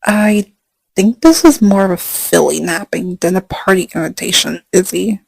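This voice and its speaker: A man's voice: high-pitched, normal speed, creaky, slightly rough, dry recording, full character voice, low-quality recording. A man voicing a grounded pony sheriff, dry and skeptical, in a comic fan dub.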